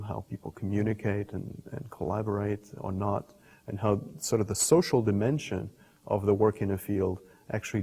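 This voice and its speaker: A middle-aged man, a scientist explaining ideas in an interview in a thoughtful, halting way, often rephrasing mid-sentence. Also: lowish voice, creaky, mellow, husky. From Portugal. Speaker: scientist and professor being interviewed. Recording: reverberant hall-like room echo.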